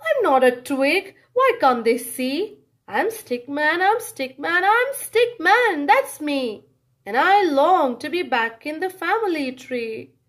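A small storybook stick creature, played by a woman, pleading and indignant. The voice is very high and slow, with chanted, emphatic refrains that sound plaintive. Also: sing-song, a bit bright, exaggerated voice. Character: indignant, plaintive little living stick man.

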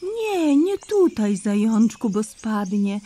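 A woman voicing a gentle, loving mother rabbit in a children's audio drama, speaking tenderly and calling her son sweet names. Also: a little high, sing-song, slow pace, heavily acted. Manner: tender and soothing, calls her son endearments.

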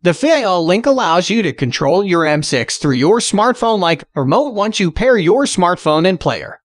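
A man's voice: sits high, sing-song, moderate pace, no echo. A man reading a scripted product review as a voice-over in a polished, presentational way. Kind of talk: scripted promotional voice-over narration.

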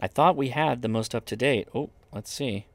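A man's voice: medium pitch, normal speed, no echo. A man giving a casual hands-on product review, informally thinking aloud as he goes. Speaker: host of a radio gear review channel. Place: indoors at a desk.

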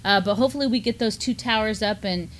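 A woman's voice: mid-range voice, fast, slight background noise. A middle-aged woman co-hosting a news discussion, speaking in an earnest, conversational way. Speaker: co-host and educator. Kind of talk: casual news commentary. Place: indoor studio desk, backdrop.

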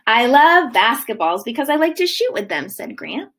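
Female teacher, thirties, highish pitch, very animated sing-song read-aloud for young kids, recorded at home. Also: moderate pace. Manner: expressive, sing-song storytelling delivery. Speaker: kindergarten teacher. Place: living room at home, indoors.

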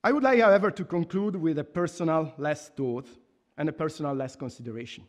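A man in his thirties from Italy with an Italian accent, earnestly reading a formal laudation from a lectern in a university hall. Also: a little high. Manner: earnest, measured, with restarts and emphatic pauses. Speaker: professor giving a laudation speech. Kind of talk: formal speech read from notes. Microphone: microphone on a stand at a lectern.

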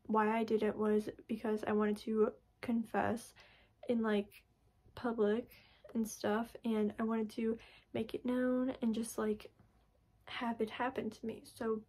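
A young woman chatting casually to camera in her bedroom, her voice a little raspy from being sick, rambling with many fillers and restarts. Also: on the high side, a little mellow. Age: young adult. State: raspy from recent illness. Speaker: vlogger. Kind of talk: casual personal vlog. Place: bedroom, indoors. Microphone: camera in front at close range.

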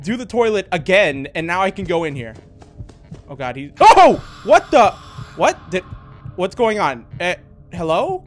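A man giving casual, bemused commentary while playing a game. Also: high voice. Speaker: gamer.

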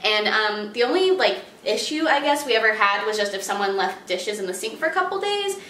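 A woman's voice: mid-range voice, lively intonation, fairly quick, sharp tone, slight background noise. A young woman answering interview questions on the phone in her kitchen, upbeat and chatty, with many fillers and little laughs. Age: young adult. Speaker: job applicant in a phone interview. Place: home kitchen, indoors. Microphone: far camera on a tripod while she talks on a phone. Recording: roomy kitchen sound from a distant camera.

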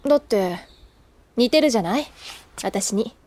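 A woman's voice: a little high, lively intonation, measured pace, mellow, slight background noise. An actress playing a candid older schoolgirl in an anime audio drama, languid and teasing with a wistful streak. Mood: candid, calm.

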